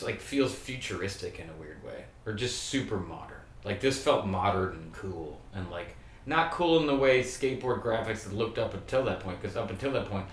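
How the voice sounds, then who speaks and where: A middle-aged man hosting a show and talking casually to camera about skateboard graphics, relaxed and rambling, with many "like" fillers. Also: on the low side, distant mic. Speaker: host breaking down skateboard graphics. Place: small room indoors. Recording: roomy sound, faint room hum.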